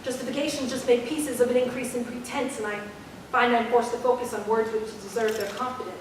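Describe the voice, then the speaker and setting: In a roomy, echo-heavy hall, recorded from a distance, an actress plays a defiant young female poet. Her voice is high and she delivers her lines with emphatic rhythm and passion. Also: little pitch movement, a little fast, lightly acted. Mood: defiant, impassioned. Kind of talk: spoken-word poetry and staged dialogue. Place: staged reading in an arts center hall. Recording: echoey hall, distant mic, faint noise.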